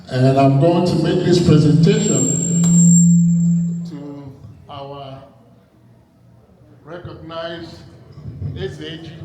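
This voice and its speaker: A man, leading a community association, giving a formal, deliberate welcome speech. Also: a little high. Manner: formal and deliberate, greeting the crowd. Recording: echoey hall with a crowd, far from mic.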